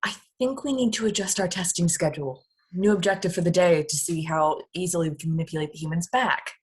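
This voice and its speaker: A woman voicing a bossy, exasperated alien leader with a husky tone, reading from a drama script. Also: fairly low pitch, fast. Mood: bossy, irritated. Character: exasperated scheming female alien leader studying captured humans. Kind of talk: script read-through.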